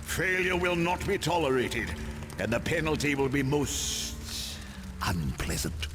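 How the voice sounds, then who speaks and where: An actor playing a menacing supervillain mastermind in a game, speaking formally and pompously. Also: male voice, a little high, lively intonation, unhurried. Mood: threatening. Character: imperious, menacing male supervillain mastermind in command. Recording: noisy game cutscene audio.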